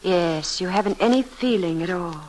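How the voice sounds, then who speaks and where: A woman's voice: lowish voice, animated, moderate pace, a little mellow, lightly acted. An actress playing an intense, suspicious young woman in a 1940s radio drama, earnest and pressing. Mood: intense, probing. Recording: noisy vintage 1940s radio recording.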